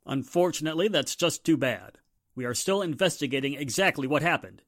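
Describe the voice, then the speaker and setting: A man's voice: fairly high pitch, a little fast, a bit bright, dry recording, studio quality. A man acting a tough, bossy police inspector in a 1940s-style radio drama, curt and commanding. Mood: stern.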